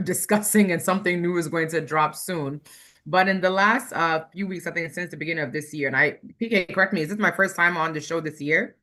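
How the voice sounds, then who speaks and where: A woman's voice: lowish voice, sharp tone. A woman speaking as a guest attorney, explaining things plainly and step by step, repeating key points for clarity. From Ghanaian background. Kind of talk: explanatory interview talk.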